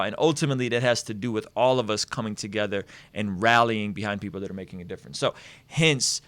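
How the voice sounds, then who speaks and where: A man is hosting a live stream and talking in an energetic way. He repeats words and restarts his sentences as he builds up a point. The sound is dry and close, with little room echo. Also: medium pitch. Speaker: host.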